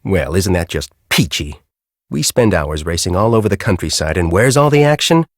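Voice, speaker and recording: An actor playing a grumpy, bossy middle-aged storm-chasing professor in a video game, with an American accent and a brusque, sarcastic, exasperated manner. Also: male voice, low voice, animated, moderate pace, dry recording, clean studio sound. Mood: sarcastic, despairing. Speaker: video game character, research team leader.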